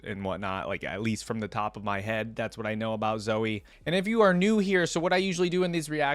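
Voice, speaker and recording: A man's voice: lowish voice, fast talker, no echo. A man hosting a reaction channel, talking casually as he reads text aloud and comments on it.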